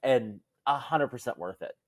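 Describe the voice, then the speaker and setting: A woman's voice: rumbling low, expressive, moderate pace, no echo, clean studio sound. A woman co-hosting a podcast, talking in a casual, conversational style. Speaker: podcast co-host. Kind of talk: casual chat.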